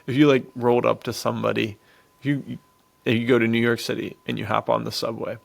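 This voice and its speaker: A man's voice: mid-range voice, flat delivery, fast, vocal fry. A man chatting casually as a podcast co-host in a laid-back, slangy way. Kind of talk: casual chat about western films.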